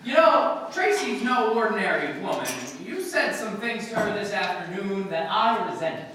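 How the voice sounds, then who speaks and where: A man's voice: high voice, big pitch swings, normal speed, crowd noise. A man acting in a radio play as a tipsy, earnest and cynical writer, rambling loosely. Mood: smitten, rambling. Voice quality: loose, rambling drunken delivery with hiccups. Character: tipsy, earnest American male magazine writer, smitten and cynical. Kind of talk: live old-time radio play acting. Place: live stage performance in a hall. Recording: distant echoey hall, faint noise.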